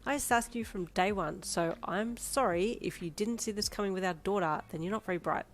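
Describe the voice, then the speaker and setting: A woman's voice: mid-range voice, normal speed, close to an everyday voice. A wry young mother, voiced by a woman storyteller. She is loving and easily embarrassed, her lines played as dialogue in an acted audio story.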